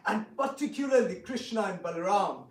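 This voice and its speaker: A man playing a sly, flattering, scheming visiting king in an amateur dramatic reading, with theatrical, drawn-out phrasing. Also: sits high. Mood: conspiratorial. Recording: echoey room, distant mic, faint noise.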